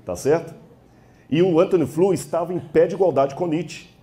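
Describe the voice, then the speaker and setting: A man's voice: fairly high pitch, normal speed, warm tone. A man with a Brazilian accent giving a debate speech in an emphatic, didactic manner, checking in with his listeners. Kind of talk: public debate speech, rhetorical lecturing.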